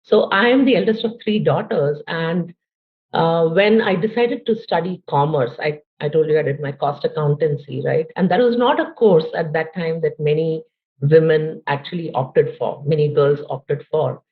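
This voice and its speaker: A low-voiced Indian woman in her late forties talks thoughtfully as a podcast guest and often pauses with "you know". Her voice comes through a narrow, tinny phone-like line. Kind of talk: conversational interview.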